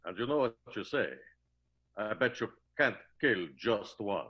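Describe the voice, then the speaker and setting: A man playing a bloodthirsty, jealous film star, grandiose and deadpan, in an improvised radio play with dull sound. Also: fairly low pitch, measured pace, slightly rough. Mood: menacing, smug.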